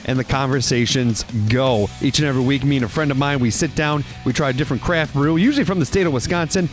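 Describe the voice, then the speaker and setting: A man's voice: mid-range voice, quick pace. Man reading a podcast promo over guitar. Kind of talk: scripted promo read. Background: faint guitar music.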